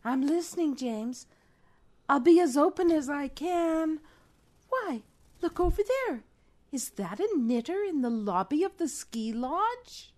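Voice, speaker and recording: A flustered female spy sidekick played by a woman in a spoof drama. The voice is very high, with pleading, melodramatic delivery. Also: expressive, unhurried, darkish tone. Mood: attentive. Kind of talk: spoof spy radio play.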